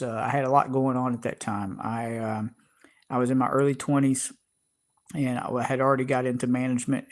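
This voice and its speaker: A middle-aged man with a Southern American accent hosts his own livestream, chatting casually to viewers. He rambles, stops and restarts often, and fills gaps with plenty of "uh"s. His voice sits at an ordinary pitch for a man. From Georgia, USA. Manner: rambling, many fillers and restarts. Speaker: channel host.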